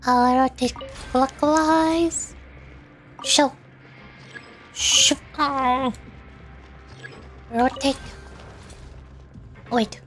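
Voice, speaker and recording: A woman's voice: high voice, steady tone, extremely slow, pauses often, a bit bright, soft background music. A woman chatting casually on a gameplay livestream, playful and given to saying cute sound-effect words aloud. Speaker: VTuber game streamer.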